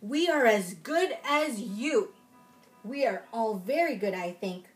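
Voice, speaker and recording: A young woman giving an expressive, exaggerated picture-book read-aloud for small children. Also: a little high, slow, faint music behind. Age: young adult. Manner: expressive, exaggerated storyteller delivery. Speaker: children's library storytime reader. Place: room at home, in front of a folding screen.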